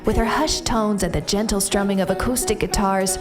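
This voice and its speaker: A woman reading a scripted promotional voice-over in a smooth, even manner. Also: medium pitch, brisk. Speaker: voice-over narrator. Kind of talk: scripted narration. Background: music.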